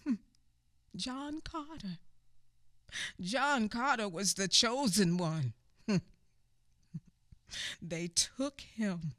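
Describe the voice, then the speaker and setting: Actress as solemn woman narrator recalling her youth; slow, expressive, hushed asides. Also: mid-range voice, no room sound, studio quality. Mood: grave. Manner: emphatic, with hushed asides and knowing murmurs. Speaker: narrator of a radio play. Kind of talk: radio theater storytelling.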